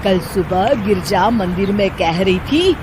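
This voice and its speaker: Woman playing a jealous, meddling older aunt, animated voice. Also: medium pitch. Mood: sly, goading. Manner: scheming, scornful, drawn-out complaining. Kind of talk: Hindi animated moral story acting. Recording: clear background noise, outdoor street ambience.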